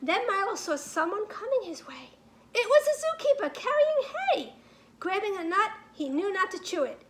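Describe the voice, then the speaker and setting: A woman with a high, bright voice reads a children's storybook aloud. She phrases each line deliberately and with animated emphasis, as a teacher does for a class. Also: a little hiss or hum. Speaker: teacher reading a picture book aloud.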